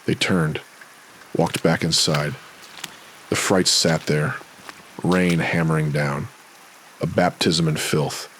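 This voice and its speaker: Very deep-voiced man narrating dark noir. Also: fairly even intonation, takes it slowly, pauses often, slight background noise, low-quality recording. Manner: brooding, dramatic. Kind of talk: scripted noir radio drama narration.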